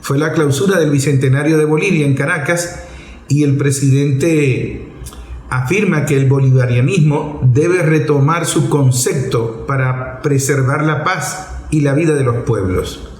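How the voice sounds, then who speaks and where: A man's voice: on the high side, unhurried, darkish tone. A Venezuelan man hosting a political programme, giving off-the-cuff commentary in a didactic, emphatic way. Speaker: host of a political opinion programme. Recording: roomy echo on the voice.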